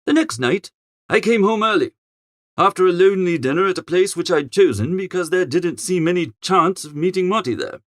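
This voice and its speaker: A man narrates a storybook as a flustered young gentleman of leisure. His voice sits high and swoops about with lively inflection. He sounds posh and chatty, given to grumbling exclamations. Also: a little fast, no room sound, studio quality. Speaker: audiobook narrator. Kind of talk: animated first-person storybook narration in character.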